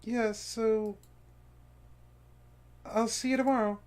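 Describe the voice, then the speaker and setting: A man's voice: steady tone, slow, clear, resonant tone. A man voicing a cheerful, clingy teenage girl from a visual novel, in a raised girlish voice that turns tearful. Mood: bubbly. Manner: high girlish voice, breaking into tearful pleading. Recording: faint game music behind.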